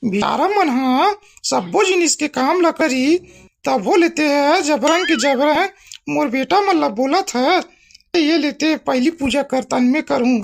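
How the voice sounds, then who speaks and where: A woman performs a doting village mother from a cartoon comedy, with a Chhattisgarhi accent. Her voice is high with a crackly edge, and she explains and scolds unhurriedly over background music. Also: animated, takes it slowly, gravelly, full character voice. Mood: indignant. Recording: music behind the voice.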